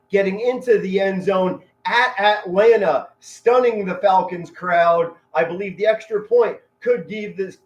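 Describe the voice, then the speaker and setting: This is a man with a high voice doing excitable sports play-by-play for a live stream. He talks like a devoted fan, with colorful, dramatic turns of phrase and weighty pauses. The audio is echoey and somewhat dull and lo-fi. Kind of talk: loud, excitable fan commentary mixed with chat.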